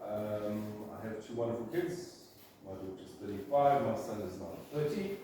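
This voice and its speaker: A man's voice: on the low side, lively intonation, moderate pace, dark tone. A man in his fifties with a South African accent, telling his business story to a group in a chatty, rambling way. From Zimbabwe. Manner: chatty storytelling, many ums and restarts. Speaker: guest speaker, print shop owner. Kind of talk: casual talk to a business group. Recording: echoey room, from a distant mic, faint noise.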